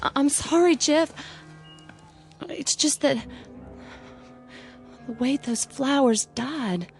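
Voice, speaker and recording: Casting: headstrong, jittery young woman, rural American accent, higher pitch, radio play with soft music. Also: takes it slowly. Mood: uneasy. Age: young adult. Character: stubborn, nervous young country sweetheart. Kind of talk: radio drama acting.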